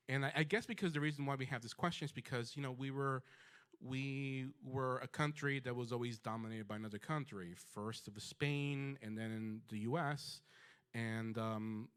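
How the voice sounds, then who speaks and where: A man from Puerto Rico telling a personal story on a small dark stage, with many ums and restarts. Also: fairly low pitch.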